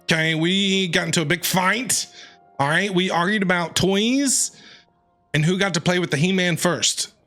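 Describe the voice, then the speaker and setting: A woman's voice: fairly low pitch, moderate pace, fairly bright tone, soft background music. A woman streamer giving joking, chatty commentary while playing, talking back to the characters. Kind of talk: let's play commentary.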